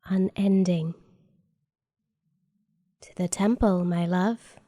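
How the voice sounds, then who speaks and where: A woman playing a solemn, devoted lover in a horror audio drama, hushed, breathy and intimate. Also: on the low side, fairly even intonation, slow pace. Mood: reverent.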